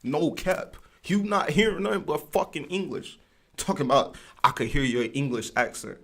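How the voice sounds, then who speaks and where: A man's voice: fairly high pitch, lively intonation, normal speed, a bit bright. A man hosting a livestream in casual, rambling slang with lots of fillers. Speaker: livestream host.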